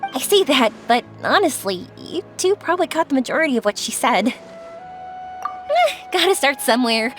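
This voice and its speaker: A woman's voice: high voice, sing-song, normal speed, soft background music. An actress voicing a cheerful, talkative young woman in an anime-style video game, kind and endlessly positive, with a chatty, encouraging manner.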